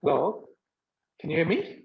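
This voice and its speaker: A man asking a business question over a video call, hesitant with many "uh" pauses. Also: fairly high pitch, very animated, vocal fry, slight background noise. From India. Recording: dull, muffled call audio.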